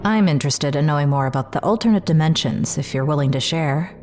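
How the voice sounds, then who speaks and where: An actress playing a doubting but curious scientist in an audio drama, questioning politely. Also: female voice, sits low, fast, darkish tone, faint music behind, lightly acted. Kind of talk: dramatic audio fiction acting.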